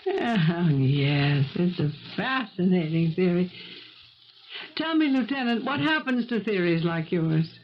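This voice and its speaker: An actress playing a composed, guarded maid under suspicion in a 1970s radio mystery, polite, measured and coolly deflecting. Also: female voice, fairly low pitch, lively intonation. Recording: muffled, hissy 1970s radio recording.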